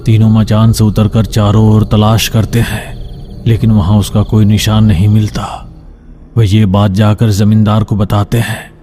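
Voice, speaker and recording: Dark-voiced male horror story narrator. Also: fairly low pitch, monotone, unhurried, slight background noise.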